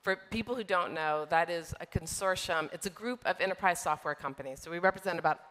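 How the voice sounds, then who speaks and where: A middle-aged woman answering interview questions on a conference stage, measured, with many fillers and restarts. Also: on the low side, a bit bright. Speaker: software trade group executive, guest. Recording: long reverb of a large hall.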